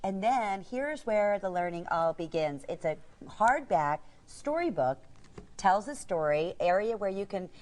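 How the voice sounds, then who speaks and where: A woman with a mid-pitched voice co-hosts a live TV shopping segment. She presents in a chatty, conversational style, as if walking viewers through what is on the table. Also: expressive, measured pace, darkish tone. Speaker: shopping channel co-host.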